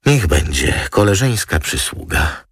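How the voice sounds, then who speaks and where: A man voicing a composed, dryly witty woman lawyer who speaks in a calm, politely formal manner in an audiobook. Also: very deep voice, a little slow, creaky, slightly rough, no room sound, clean studio sound, exaggerated voice. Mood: cool, obliging.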